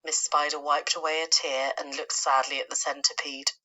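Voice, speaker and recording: A woman from England with an English accent, reading a children's story as an expressive narrator. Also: low voice, moderate pace, rough recording. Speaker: storybook reader.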